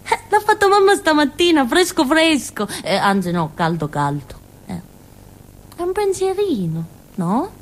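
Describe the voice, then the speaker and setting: Naive village fool girl, calabrian accent, high voice jumping all over, simple halting stage monologue. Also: very animated. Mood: earnest, simple. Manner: simple, repetitive, halting, with questioning tags and giggles. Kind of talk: theatrical monologue in colloquial dialect-tinged Italian.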